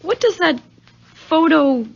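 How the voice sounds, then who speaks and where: A woman's voice: high-pitched, lively intonation, takes it slowly, gravelly, heavily acted. A woman playing a frightened, insistent young fiancee in a 1970s radio drama. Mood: shaken, insistent. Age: young adult. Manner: agitated, earnest, emphatic. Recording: dull vintage radio recording.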